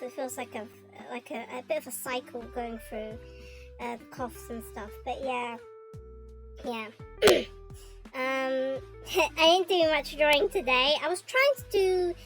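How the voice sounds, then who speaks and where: A woman's voice: sits high, a bit bright. A young woman streaming her art from her bedroom, chatting casually and rambling with lots of fillers, her throat still a little sore from a cough. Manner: rambling and hesitant, with many fillers. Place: bedroom at home.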